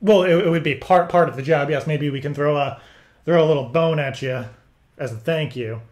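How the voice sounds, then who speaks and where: A man's voice: fairly high pitch, a little mellow. Rambling, stammering man on a call. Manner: rambling, stammering, halting delivery. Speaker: prank caller. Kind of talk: casual phone conversation posing as interviewer.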